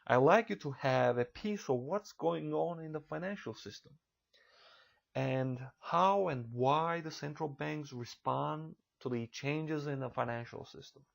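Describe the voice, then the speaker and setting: A man in his thirties from Turkey with a Turkish accent, delivering a recorded online college lecture in a measured, careful way. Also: a little high, vocal fry. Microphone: computer mic for a narrated slideshow.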